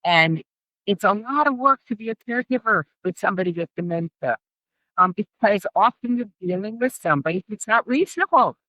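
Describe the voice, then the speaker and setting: A woman's voice: medium pitch, animated, fairly quick, a bit bright, no echo, poor audio. A middle-aged woman speaking as an interview guest and care specialist, explaining and advising emphatically and repeating phrases for stress.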